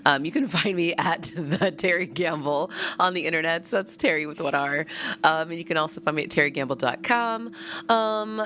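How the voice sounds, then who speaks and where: A woman playing a tabletop roleplaying game, talking in an excitable, gushing way. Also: sits low. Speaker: player.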